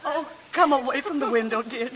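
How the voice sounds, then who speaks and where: A woman's voice: high-pitched, a little fast, natural voice. A woman playing a fretful, submissive mother in an old radio drama, fluttery and pleading. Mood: anxious hushing. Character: fretful, submissive mother hushing her daughter. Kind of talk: 1940s radio acting. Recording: distant, noisy vintage radio recording.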